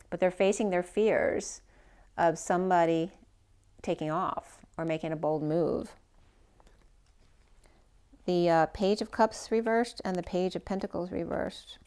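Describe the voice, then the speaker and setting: A woman's voice: fairly low pitch, a little mellow, clean tone. A woman giving a casual tarot reading who is losing her voice. She thinks aloud, trails off and restarts her sentences. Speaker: tarot reader.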